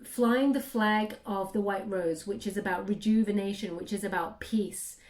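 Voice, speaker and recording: A woman giving a spiritual tarot reading, speaking softly and haltingly with many fillers, her voice going hoarse. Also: mid-range voice, echoey room, far from the mic. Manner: soft, hesitant, many fillers, addresses listener warmly. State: losing her voice, clearing throat. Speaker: tarot reader.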